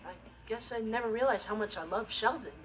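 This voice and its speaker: A man's voice: sits high, a little fast, a bit bright. A young male actor playing an earnest, responsible, easily exasperated teenage stepbrother, telling stories with emphatic comic timing in a TV sitcom. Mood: mournful deadpan. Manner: emphatic, theatrical comic delivery. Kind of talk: scripted comic monologue in a TV sitcom. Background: music and laughter.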